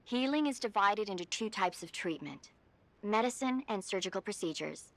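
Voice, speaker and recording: An actress playing a medical advisor on the radio, calmly and patiently talking an injured agent through treating his wounds. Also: medium pitch, natural voice. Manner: calm, patient, instructive. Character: calm female field medic on radio. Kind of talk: video game cutscene acting.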